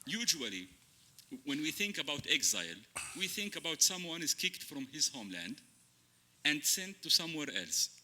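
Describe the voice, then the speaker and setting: A man whose first language is Arabic, a writer on a panel, speaking slowly and searching for words in English. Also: fairly high pitch, sing-song, bright, forceful tone. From Palestine. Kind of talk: panel discussion. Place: stage.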